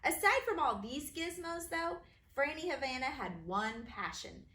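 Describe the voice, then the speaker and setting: A woman teacher reading children's stories aloud in an expressive, sing-song way for young listeners. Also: sits high, a little slow. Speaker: kindergarten teacher reading her own stories. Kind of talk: animated children's storybook reading. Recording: roomy echo, faint background noise.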